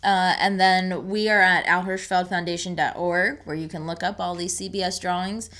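A woman's voice: lowish voice, steady tone, moderate pace, a bit bright. A woman co-hosting a podcast, speaking in an informal, chatty way. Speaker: podcast co-host. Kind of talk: casual chat.

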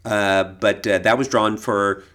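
Low-voiced man co-hosting a podcast. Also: steady tone, measured pace, fairly bright tone. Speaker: podcast co-host, art expert. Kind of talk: casual podcast conversation.

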